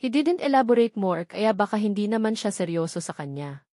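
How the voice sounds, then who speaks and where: A woman's voice: medium pitch, sing-song, fairly quick, warm tone, no room sound, clean studio sound. A woman reading a scripted romance novel aloud as a first-person narrator. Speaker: audiobook narrator.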